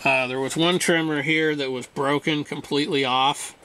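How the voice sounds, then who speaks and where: A man giving an unscripted hands-on repair demonstration, explaining things in a halting, matter-of-fact way. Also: fairly high pitch, steady tone, sharp tone, a little hiss or hum, poor audio. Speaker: radio restorer demonstrating repair.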